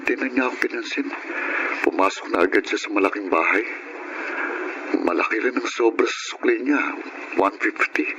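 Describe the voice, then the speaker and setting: An actor playing a kind, honest young tricycle driver and older brother in a radio drama, speaking earnestly and politely. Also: male voice, fairly low pitch, brisk, pauses often, muffled recording, very noisy, close to an everyday voice. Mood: puzzled, earnest. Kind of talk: Filipino radio drama acting.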